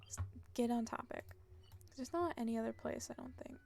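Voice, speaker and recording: A woman's voice: fairly high pitch, a little fast, gravelly, darkish tone. A woman streaming a video game, casually rambling and thinking aloud as she plays. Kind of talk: casual chatty gameplay commentary.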